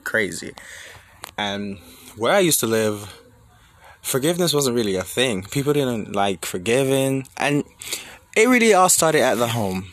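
A man's voice: mid-range voice, big pitch swings, measured pace, pauses often, a bit bright, dry recording. A young man from Jamaica talking casually and thinking aloud, conversational, with emphatic rhetorical questions. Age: young adult. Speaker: student sharing personal reflections.

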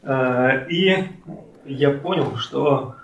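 A man in his late twenties in casual podcast conversation, speaking in a halting, hesitant way with many pauses. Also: mid-range voice, creaky, distant mic, muffled recording. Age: late 20s, turning thirty. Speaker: podcast participant. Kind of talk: casual conversation about personal life. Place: friend's home, indoors. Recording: echoey room, off-mic, faint noise.

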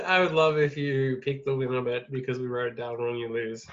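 A man's voice: mid-range voice, fairly even intonation, normal speed. A man hosting a casual podcast, swearing freely and laughing as he reads out betting odds. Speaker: podcast host taking bets. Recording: dull lo-fi sound with faint noise.

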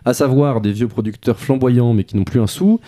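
Man, podcast host, warm mellow tone, film talk. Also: medium pitch, brisk. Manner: hesitant in debate, fluent when reading. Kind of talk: film discussion, then scripted review reading.

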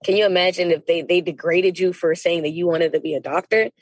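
An American woman from Miami speaking as a podcast guest in a casual interview, telling stories in an animated, emphatic, slangy way. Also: lowish voice, a little fast, no room sound. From Miami, United States.

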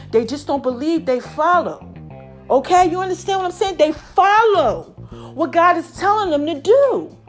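Lively New woman, high swooping voice, a little raspy, preachy chat to her viewers. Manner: animated, calls herself Mama, addresses viewers as y'all. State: slightly raspy voice. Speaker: online commentator. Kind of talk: casual preachy chat to followers.

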